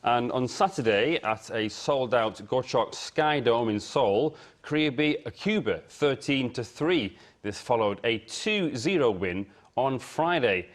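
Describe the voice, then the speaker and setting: A man's voice: mid-range voice, lively intonation, slow pace, few pauses. A man working as a sports editor, delivering a broadcast sports report in a studio. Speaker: sports editor presenting sports news. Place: TV news studio.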